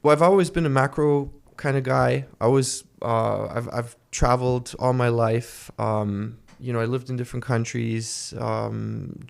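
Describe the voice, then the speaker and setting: A man who is a podcast guest, answering questions in a relaxed way with lots of filler words. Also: mid-range voice, unhurried, vocal fry, hardly any pauses. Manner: relaxed, lots of "you know" and "um". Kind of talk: casual interview answers.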